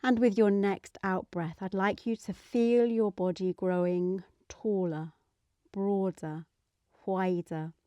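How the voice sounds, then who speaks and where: A woman leading a guided meditation in a soothing, gentle manner, letting her phrases trail off softly. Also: mid-range voice, steady tone, takes it slowly, dry recording, studio quality.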